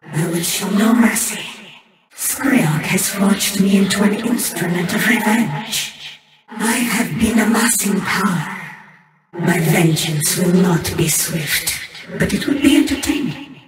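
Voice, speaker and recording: A woman's voice: medium pitch, slow, very noisy, close to an everyday voice. An actress voicing a proud, merciless winged spirit woman in a video game, declaiming her lines in a theatrical, commanding, solemn manner. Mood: menacing resolve. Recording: roomy, distant voice under loud music. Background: loud music.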